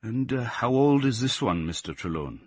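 A man's voice: medium pitch, animated, normal speed, husky, dry recording, studio quality. A man doing a lilting Welsh farmer. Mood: rueful. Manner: lilting, singsong. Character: cheerful middle-aged male Welsh sheep farmer.

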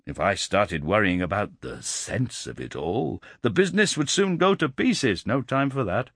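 A man's voice: on the low side, big pitch swings, normal speed, fairly bright tone, dry recording, clean studio sound, exaggerated voice. A man voicing a middle-aged businessman, blustering and trying not to sound condescending.

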